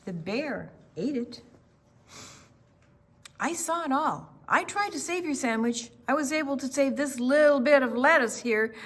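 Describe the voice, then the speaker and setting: A woman reading a children's picture book aloud in an expressive storytime style. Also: high-pitched, measured pace.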